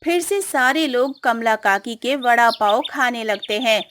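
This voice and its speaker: A woman's voice: on the high side, a little slow, a bit bright, a little hiss or hum. A woman narrator reading a scripted cartoon moral story. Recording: music behind.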